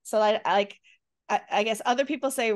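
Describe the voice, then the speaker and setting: Middle-aged Rochester-accented woman co-host, high bright voice, animated storyteller. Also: fairly quick. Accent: Rochester, New York. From Western New York. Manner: animated storyteller, laughs often. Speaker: podcast co-host. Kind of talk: casual chat.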